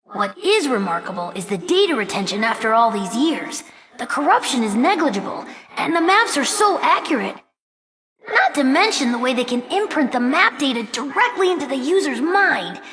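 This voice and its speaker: High-pitched earnest fox boy. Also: very animated, normal speed, a bit bright. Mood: focused, curious. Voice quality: youthful, boyish. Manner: earnest, articulate, technical. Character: young fox boy, earnest brainy gadget-loving sidekick. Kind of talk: video game character voice lines.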